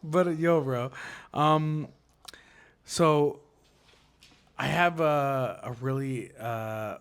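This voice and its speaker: A man's voice: mid-range voice, drawn-out words, smooth. A man hosting a casual podcast, talking in a loose, joking way and swearing freely. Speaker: podcast host.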